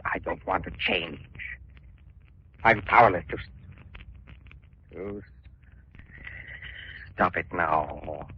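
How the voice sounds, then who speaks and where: A man's voice: low voice, takes it slowly, pauses often, slightly rough, exaggerated voice. An actor playing a tormented man turning into a murderous megalomaniac, with melodramatic delivery in 1940s radio style. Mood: anguished, halting. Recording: dull 1940s radio recording.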